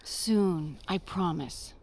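A woman playing an anxious, coaxing, protective mother in an audio drama, often dropping to a hushed tone. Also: fairly low pitch, extremely slow. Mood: soothing.

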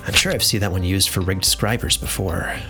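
A man's voice: sits low, fairly quick, over music, poor audio. A man playing a pensive fantasy researcher who mutters hesitant notes to himself in an audio drama.

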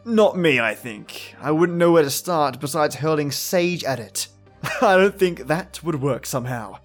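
A man's voice: fairly high pitch, animated, a little slow. An Australian man hosting a podcast, giving casual, reflective commentary. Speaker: podcast host. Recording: faint music behind voice.

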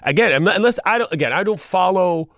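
Higher-voiced man debating sports on radio. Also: animated, fast, a bit bright, dry recording, clean studio sound. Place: radio studio.

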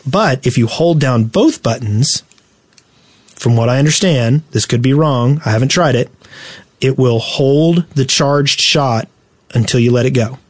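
A man co-hosting a casual gaming podcast, explaining tactics in a halting, instructional way. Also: mid-range voice, breathy edge, dry recording. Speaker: podcast host.

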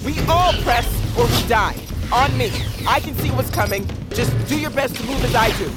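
A woman's voice: on the high side, normal speed, over loud music, natural voice. An actress playing a proud, guarded assassin in fantasy audio fiction, curt and defiant in her delivery. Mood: urgent. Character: guarded, sharp-tongued female assassin, proud and commanding. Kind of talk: dramatic audio fiction acting.

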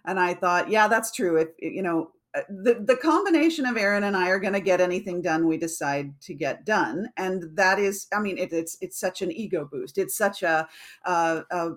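Lower-voiced rambling woman guest. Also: moderate pace. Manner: warm, rambling, many restarts. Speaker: guest, co-founder. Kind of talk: casual interview chat.

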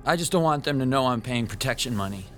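A man's voice: medium pitch, animated, fast, soft background music, natural voice. A man playing a timid, geeky comic shop owner with a nervous, hesitant manner in a radio drama. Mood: uneasy.

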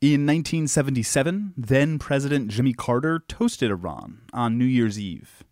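A man's voice: mid-range voice, expressive, moderate pace, a little mellow, dry recording, studio quality. A man hosting and narrating a scripted history documentary. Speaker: podcast host and narrator.

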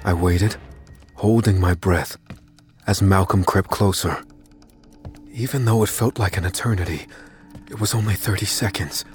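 An actor playing a man recounting a tense showdown with a killer, in measured, suspenseful first-person narration. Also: sits low, over music. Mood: suspenseful, hushed. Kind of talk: scripted dramatic audio fiction narration.

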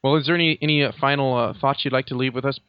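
Casting: male interview host, casual, average pitch, slightly lo-fi recording. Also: steady tone, rapid-fire, smooth, no room sound. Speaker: podcast interviewer.

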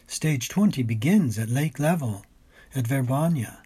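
A man's voice: mid-range voice, animated, unhurried, dark tone, slightly rough. A man reading a scripted sports report in a literary, dramatic storytelling manner. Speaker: food, wine and travel writer. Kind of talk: scripted narration of cycling race report.

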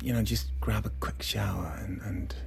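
An actor playing a hurt, angry estranged husband in a naturalistic audio drama, halting and stammering as he repeats himself. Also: fairly low pitch, steady tone, creaky, faint music behind. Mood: awkward, hesitant.

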